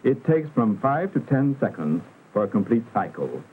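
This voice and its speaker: Lively male narrator on tinny vintage film soundtrack. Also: mid-range voice. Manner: formal, didactic, measured enunciation. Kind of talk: scripted educational narration, 1960s industrial film. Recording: muffled vintage film soundtrack, narrow band, hiss.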